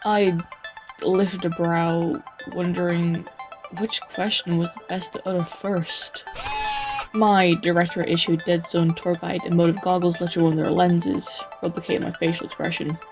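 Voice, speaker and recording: A woman plays a world-weary wasteland tour guide, a sardonic man narrating his own story in a comic dub. The voice is fairly low, dry and brooding, with some music underneath. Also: level tone, unhurried. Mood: wry, puzzled. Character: weary, sarcastic male tour guide surviving nuclear wasteland.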